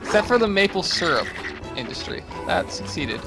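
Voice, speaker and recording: A man's voice: high-pitched, sing-song, moderate pace, music in the background. An American man reading livestream chat aloud and chatting casually, with dry joking asides.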